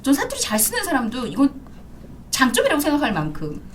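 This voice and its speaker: A woman in her thirties talks fast and with lively swings of pitch, sitting as a guest in an interview. She is a radio DJ who tells stories with animation and laughs often. Faint noise is heard behind her. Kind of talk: casual interview talk.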